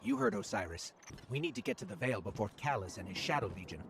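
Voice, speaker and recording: A small, earnest robot sidekick from a video game. The pitch is medium for a male, the delivery concerned, with clear ambient noise around it. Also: huge pitch swings, fast. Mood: earnest, curious. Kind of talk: video game companion dialogue.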